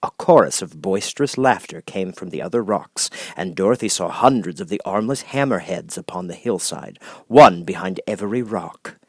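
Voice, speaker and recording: A man reading a children's story aloud as an expressive audiobook narrator. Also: deep voice, moderate pace, husky, no room sound, studio quality.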